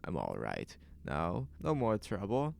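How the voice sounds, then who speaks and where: A man playing an anxious, pleading small-town storekeeper in an audio horror drama, halting and self-justifying. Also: fairly low pitch, huge pitch swings, creaky, heavily acted. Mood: nervous relief.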